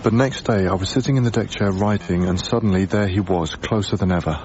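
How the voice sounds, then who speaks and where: A man's voice: sits low, a little fast, background noise, natural voice. Actor as thoughtful young British man, muffled recording. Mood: reflective. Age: young adult. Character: reflective, gentle young male lodger, heartbroken. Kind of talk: BBC radio drama acting, intimate first-person narration. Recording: dull radio broadcast recording.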